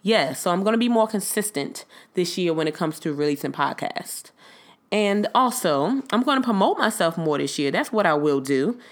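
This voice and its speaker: A woman chats alone into her podcast mic, blunt and unfiltered, cursing freely and calling her listeners y'all. She sounds stuffed up and nasal from a cold. Her voice sits slightly low for a woman. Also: lively intonation, normal speed. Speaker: podcast host. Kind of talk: casual solo podcast monologue.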